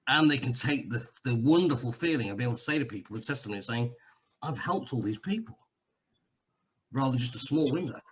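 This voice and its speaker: A man's voice: medium pitch, lively intonation, fast, husky, rough recording. An Englishman living in Cyprus, chatting casually as a guest, rambling and self-deprecating with frequent restarts. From England. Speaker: guest sharing a testimonial. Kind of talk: casual video-call chat.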